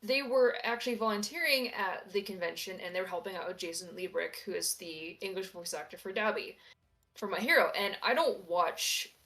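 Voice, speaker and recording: Female, medium pitch, conversational and meandering, casual livestream storytelling. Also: brisk, a bit bright. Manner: rambling, conversational. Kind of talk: casual livestream chat, personal anecdotes.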